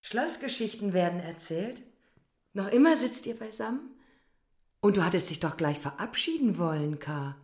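A woman's voice: mid-range voice, big pitch swings, normal speed, darkish tone, natural voice. An actress playing a proud, ironic young village woman in a radio drama, with a cool, teasing manner. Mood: mocking.

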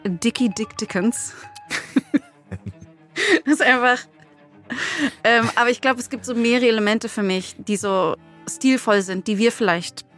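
A woman's voice: on the high side, fairly even intonation, measured pace, pauses often, fairly bright tone, faint music behind. A woman co-hosting a podcast in casual chat, informally thinking aloud as she goes. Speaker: podcast co-host.

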